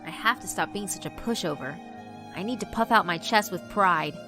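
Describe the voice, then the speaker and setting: A woman's voice: mid-range voice, moderate pace, close to an everyday voice. A woman voicing a meek, humble young yokai girl, timid and hesitant, in visual novel style. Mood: reflective, resolving. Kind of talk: dramatic visual novel voice acting. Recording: music behind the voice.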